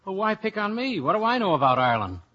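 A man's voice: high voice, very animated, quick pace. A man playing a genial, reluctant Irish-American insurance investigator in a 1950s radio play, with flippant, incredulous delivery. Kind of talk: 1950s radio acting, first-person narration and dialogue. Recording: dull vintage radio broadcast.

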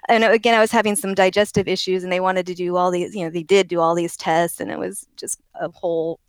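A woman's voice: fairly low pitch, flat delivery, quick pace, clean tone, no room sound. A woman from Oregon with a very young-sounding voice, talking as an interview guest in a rambling, self-correcting way and laughing often. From Oregon, USA. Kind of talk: casual interview conversation.

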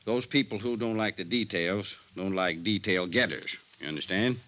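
An actor playing a folksy, wary older small-town sheriff who drawls deliberately in a 1950s radio drama. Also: male voice, lowish voice, fairly bright tone, close to an everyday voice. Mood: wary, warning.

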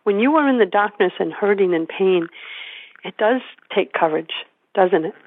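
A woman's voice: mid-range voice, animated, moderate pace. A woman host interviewing an author, enthusiastic and affirming. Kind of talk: interview conversation. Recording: dry phone-like audio.

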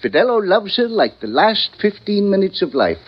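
A droll old sidekick in a radio noir, with a highish voice that swings dramatically up and down. His delivery is florid and theatrical. The sound is an old, muffled broadcast. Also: big pitch swings, normal speed, breathy edge, full character voice. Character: theatrical, wry, boozy older sidekick of a detective. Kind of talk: 1940s radio drama acting. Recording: muffled vintage 1940s radio recording.

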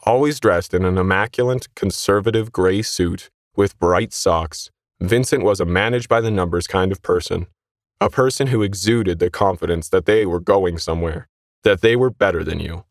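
A man's voice: sits low, normal speed, no room sound, clean studio sound. A man narrating a scripted short story as its reader.

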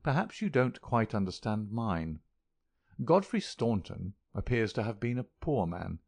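A man voicing a calm gentleman detective who speaks in a measured, courteous, quietly probing way. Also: lowish voice, creaky, husky, no echo, exaggerated voice.

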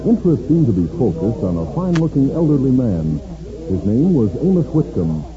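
A man's voice: mid-range voice, very animated, a little fast, crowd noise. Tinny, theatrical 1950s radio announcer, male. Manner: grand, theatrical, stretched key words. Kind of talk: 1950s radio western narration, dramatic announcing. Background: music.

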